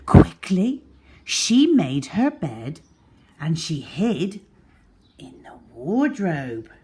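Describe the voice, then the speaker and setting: A woman telling a children's story in an expressive storybook narration style. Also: on the low side, drawn-out words.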